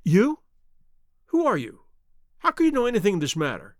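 A man's voice: sits high, huge pitch swings, pushed and bright, no room sound, clean studio sound, voice pushed up, full character voice. A man voicing a nervous, cringing little man in an audiobook, with a thin, whining, quivering voice. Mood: frightened. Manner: anxious, halting, eager to please.

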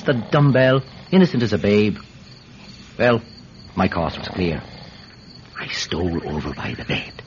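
Animated Irish village storyteller. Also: male voice, on the low side. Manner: animated, folksy, stammering when flustered. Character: chatty, scheming Irish villager, blustering storyteller. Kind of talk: radio drama storytelling. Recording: dull, noisy radio-drama recording.

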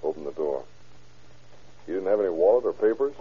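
An actor playing a shrewd, terse police investigator in an old-time radio crime drama, reasoning matter-of-factly. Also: male voice, deep voice, expressive, a little fast, breathy edge. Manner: matter-of-fact, terse, deductive. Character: shrewd, hard-boiled male police investigator. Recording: muffled old radio recording.

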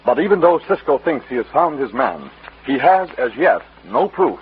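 A man's voice: medium pitch, big pitch swings, normal speed, loud music behind the voice. A man giving dramatic scripted narration as the announcer of an old radio show. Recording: muffled vintage radio recording.

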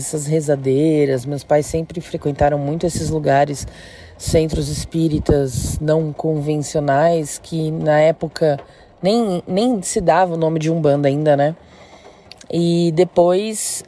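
A woman's voice: sits low, dark tone. A woman in her thirties with a São Paulo state Brazilian accent, chattily telling a personal story as a listener calling in to a show. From interior of São Paulo state, Brazil. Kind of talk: casual spoken storytelling.

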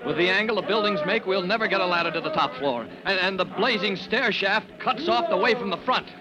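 Man playing a high-voiced, earnest firefighter. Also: fairly quick, crowd noise, lightly acted. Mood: worried. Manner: hesitant, respectful, stammering. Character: earnest, deferential young male firefighter, anxious and dutiful. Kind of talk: old-time radio drama acting. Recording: noisy, dull old radio recording.

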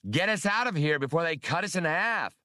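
A man's voice: a little high, animated, fairly quick, no echo, clean studio sound, voice pushed up. A man voicing a brash, daring space smuggler who hollers urgent orders in an audiobook. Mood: urgent, hollering. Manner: shouting urgently.

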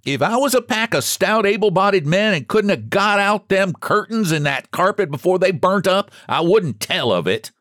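Guy doing a frantic blunt country woman in a storybook, way up high, breathless old rustic talk. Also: wildly swooping pitch, moderate pace, hardly any pauses, dry recording, clean studio sound. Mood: indignant. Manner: breathless exclamations in rustic old-fashioned dialect. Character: frantic rural woman crying fire, guilt-stricken, blunt.

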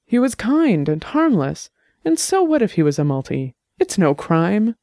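A narrator voicing a nervous, defensive man whose voice rises high and shaky, near tears. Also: big pitch swings, unhurried, mellow, dry recording, studio quality. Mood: defensive, shrill.

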